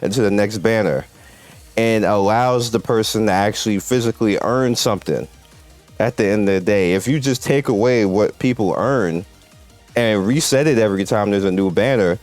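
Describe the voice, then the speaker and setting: A man with a fairly low voice gives casual gameplay commentary. He speaks emphatically, circling back to hammer his points home, with faint music underneath. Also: moderate pace, a little mellow. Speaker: host of a mobile game video channel. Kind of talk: casual gameplay commentary, talking to viewers and developers.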